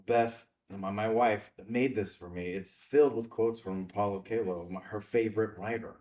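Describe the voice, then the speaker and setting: An actor playing a terse, guarded investigator with a dry edge in a screenplay table read. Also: male voice, on the low side, normal speed, darkish tone, far from the mic, lightly acted. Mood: subdued.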